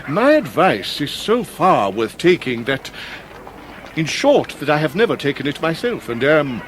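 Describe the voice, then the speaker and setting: A florid middle-aged English gentleman, down on his luck yet grand, in a period radio play. He declaims pompously in a fairly high, widely swinging voice, with clear outdoor noise behind him. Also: big pitch swings, a little slow. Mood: grandly mournful. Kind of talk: radio drama acting of a Victorian classic.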